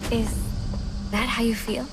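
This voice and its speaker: An actress playing an earnest young female psychiatrist in a video game cutscene, lonely and captivated, probing gently in a measured, hesitant way. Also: fairly high pitch, level tone, heavy background noise. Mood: curious, probing.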